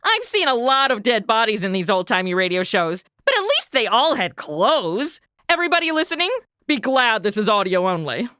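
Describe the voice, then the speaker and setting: Young American female sidekick, high and sharp, sassy, sarcastic, very expressive comedy delivery, dry close sound. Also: moderate pace, smooth, no room sound. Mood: chatty, breezy. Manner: sassy, blunt, slangy. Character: brash, sarcastic young modern American woman, detective's sidekick. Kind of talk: comic audio drama acting.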